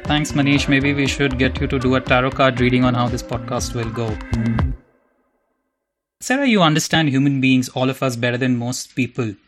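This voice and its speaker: A man's voice: medium pitch, normal speed, faint music behind. A man hosting a podcast who reads his introduction in a theatrical, playful, self-mocking way and then interviews his guests. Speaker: podcast host.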